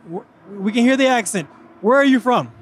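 A man hosting an interview with a high, bright-toned voice and an upbeat, hype-driven delivery. He speaks outdoors at a racetrack, with faint noise in the background. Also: lively intonation, normal speed. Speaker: host.

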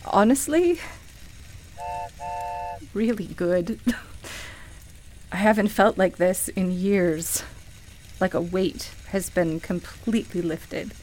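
A woman's voice: lowish voice, darkish tone, a little hiss or hum, poor audio. Woman acting a vulnerable, confiding woman in radio drama. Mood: relieved. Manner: emotional, confiding, halting. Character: guilt-ridden grieving woman customer, candid and vulnerable.

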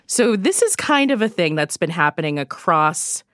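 A woman's voice: on the low side, very animated, moderate pace, a bit bright, no echo, studio quality. A woman recommending events as a guest, chatty, often saying "like" and "you know". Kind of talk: casual chat.

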